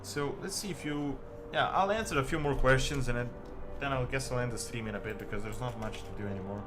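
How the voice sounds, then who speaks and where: A man from Serbia, chatting casually to viewers as a game streamer in a halting, rambling way peppered with "like". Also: medium pitch. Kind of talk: casual livestream chat with viewers. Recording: music behind the voice. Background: music.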